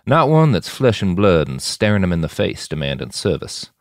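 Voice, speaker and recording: A man's voice: deep voice, sing-song, normal speed, no room sound, clean studio sound. A man voicing a cocky, commanding post-human mercenary boss in an audiobook, with a folksy, speechifying manner. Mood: musing, superior.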